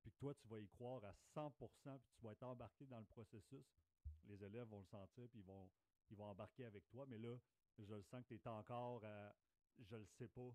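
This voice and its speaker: Mellow Quebec man, casual podcast co-host. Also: lowish voice, fairly even intonation, brisk, no room sound. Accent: Quebec French. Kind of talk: casual conversational podcast talk.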